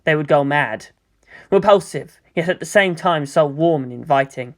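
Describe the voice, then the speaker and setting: Expressive woman reading a story aloud. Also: sits low, moderate pace. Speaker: fan fiction reader. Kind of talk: scripted story narration.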